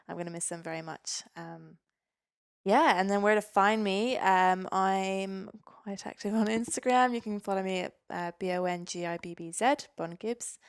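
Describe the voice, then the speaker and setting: A woman's voice: mid-range voice, smooth, dry recording, clean studio sound. A young woman from Norway, a physiotherapist guest on a podcast, chatting casually with lots of ums and easy laughs. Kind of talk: casual interview chat.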